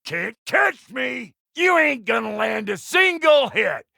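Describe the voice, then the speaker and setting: Loud scrappy video game dwarf smith, american, gravelly and brash, pitch jumping all over. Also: male voice, sing-song, slow pace, no room sound, studio quality, exaggerated voice. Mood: belligerent taunting. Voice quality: gravelly, rough. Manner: brash, folksy, profane. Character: foul-mouthed, cocky, gruff dwarf blacksmith. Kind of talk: video game character voice lines.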